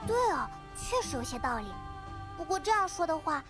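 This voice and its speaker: Tiny squeaky childlike fairy girl. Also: fairly quick, music in the background. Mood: eager, curious. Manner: cutesy, expressive. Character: tiny childlike female fairy companion, eager, chatty. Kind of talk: anime game voice acting. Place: studio game dialogue with music behind.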